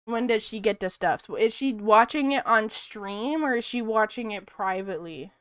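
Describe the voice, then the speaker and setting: A woman's voice: fairly high pitch, moderate pace, smooth, no room sound, clean studio sound. A woman streaming her art, chatting casually and rambling with frequent laughs, in a soothing voice. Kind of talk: casual chat while drawing on stream.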